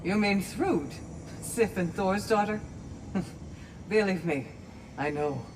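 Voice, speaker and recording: An actress playing a brisk, good-humoured female warrior commander in a video game, with a wry manner. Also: mid-range voice, natural voice. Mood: businesslike, wry. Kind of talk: video game character acting. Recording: distant voice over noisy game ambience.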